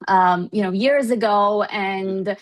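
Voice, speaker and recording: A woman's voice: mid-range voice, slow pace. A woman from Iran, a researcher, explaining her work in an interview in a thoughtful, hedging way peppered with "you know.". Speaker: researcher and professor being interviewed.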